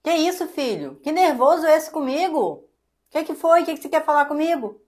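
A woman's voice: high-pitched, expressive, fairly quick, a little mellow. A woman playing an indignant, domineering mother of a grown son in a domestic drama, guilt-tripping and dismissive, with a Brazilian accent.

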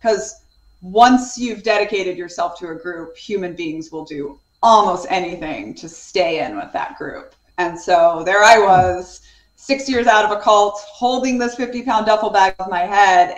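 A woman's voice: medium pitch, measured pace, reverberant, rough recording. A woman in her thirties, an author talking earnestly and reflectively as a guest on a video call from her home study. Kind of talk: conversational interview.

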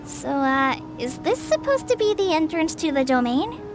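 A woman voicing a gentle, earnest teenage girl in a video game, youthful and a little hesitant, over music. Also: high voice, very animated, normal speed. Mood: earnest, thoughtful. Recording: music behind the voice.

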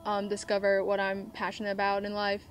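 A woman's voice: medium pitch, completely flat delivery, a little fast, slight background noise. A young woman from China, a college student, answering interview questions thoughtfully outdoors. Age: young adult. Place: outdoors by brick wall. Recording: background music under voice.

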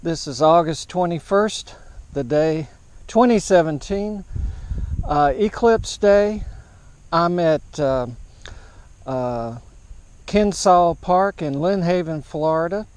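A man narrating an outdoor setup vlog as an amateur radio operator, in a halting, matter-of-fact way. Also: a little high, lively intonation, a little mellow, smooth, no room sound. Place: large open grassy park field, outdoors.

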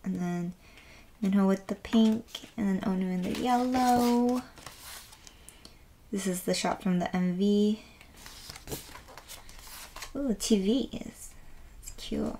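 A woman with a raspy voice today, chatting softly and gushing with lots of fillers as she unboxes an album at a table. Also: mid-range voice, slow pace, long pauses, a little hiss or hum. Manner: soft, rambling, gushing with many fillers. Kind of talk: casual unboxing chat. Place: indoors at a wooden table.

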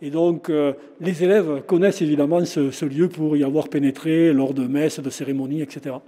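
An older man in his sixties with a very mellow, dark voice sits a little high in pitch. He answers questions haltingly, full of fillers and restarts, through a face mask. The sound rings with the long reverb of a large stone chapel. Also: steady tone. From France. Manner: hesitant, many fillers and restarts. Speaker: shop owner and local historian. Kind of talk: casual interview. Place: large former chapel, indoors. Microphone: handheld interviewer mic, face mask on.

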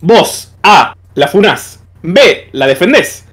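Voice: mid-range voice, sing-song, takes it slowly. A co-host, playfully posing quiz questions in casual stream banter.

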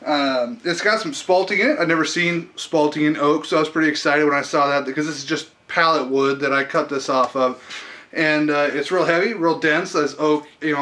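A man's voice: fairly high pitch, bright, forceful tone. A man in his thirties hosting a woodworking channel, giving a casual, rambling update to the camera from a small indoor workshop. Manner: chatty and rambling, many ums and uhs. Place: small indoor workshop under fluorescent lights. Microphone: camera mic close in front.

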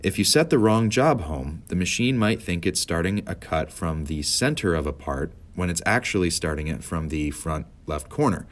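An American man with a deep voice narrates a scripted instructional tutorial. He speaks as an instructor, laying things out clearly and in orderly steps. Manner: clear step-by-step instructional delivery.

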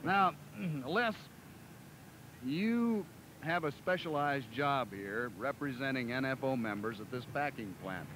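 Measured farm-report interviewer, noisy film audio. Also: male voice, medium pitch, lively intonation, fairly bright tone. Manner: measured, leading questions with a polished presenter cadence. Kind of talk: broadcast interview. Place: outdoors by a cattle pen. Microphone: handheld mic. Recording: noisy 1970s 16mm film sound, outdoors.

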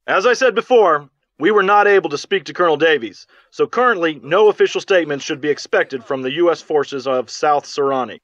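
A man's voice: on the high side, animated, brisk, hardly any pauses, fairly bright tone, no room sound, lo-fi sound. A man playing a formal, businesslike field news reporter giving a scripted report in a video game. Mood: formal, brisk. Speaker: news correspondent.

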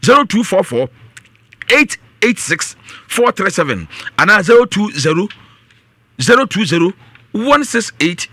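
Animated, high-pitched Ghanaian male radio presenter. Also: unhurried, pauses often, no room sound. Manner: animated, emphatic, repeats phrases for emphasis. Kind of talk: live radio announcements and health-product promotion. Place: small radio studio indoors. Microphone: close broadcast studio microphone.

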